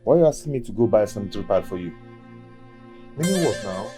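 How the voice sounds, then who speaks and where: An actor with a Nigerian accent playing a proud young house servant, protesting with repeated, stammered complaints in a film drama. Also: male voice, fairly low pitch, sing-song, quick pace, over music. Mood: indignant. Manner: indignant, repetitive, stammering protests.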